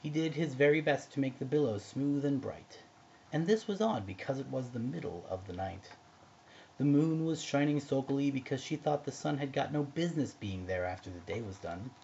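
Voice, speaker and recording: A man reading a narrative poem aloud as an expressive amateur storyteller. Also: medium pitch, normal speed, gravelly, muffled recording, slight background noise. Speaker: amateur poetry reader.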